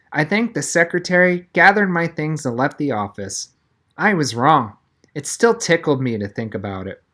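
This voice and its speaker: A woman narrates a children's novel with very lively, swinging intonation. She reads scripted prose expressively, as a storyteller would. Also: sits low. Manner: animated, storytelling, acts out dialogue. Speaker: audiobook-style reader.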